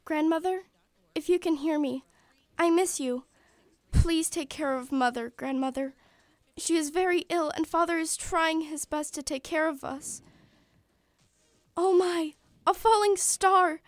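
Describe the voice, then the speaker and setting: Radio play: polite, hopeful little match girl, high-pitched adult woman acts her, earnest, formal delivery, dry close sound. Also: a little slow, no echo. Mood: yearning, wondering. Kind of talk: stage-style radio play acting.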